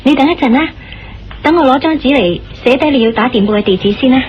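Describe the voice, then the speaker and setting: A woman with a Hong Kong Cantonese accent playing a young, dutiful nurse in a radio drama, gentle and hesitant. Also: high-pitched, animated, fast talker. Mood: agreeable. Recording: dull lo-fi radio drama recording. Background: music.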